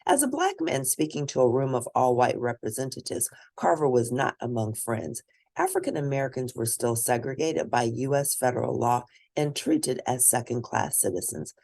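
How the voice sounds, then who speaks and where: A woman reading a children's picture book aloud as host, in expressive, deliberate storytelling. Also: a bass voice, creaky.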